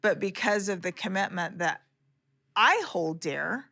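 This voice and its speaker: A woman's voice: mid-range voice, lively intonation, unhurried, sharp tone, dry recording, studio quality. A woman speaking earnestly about her own commitment, in a clip played from a show.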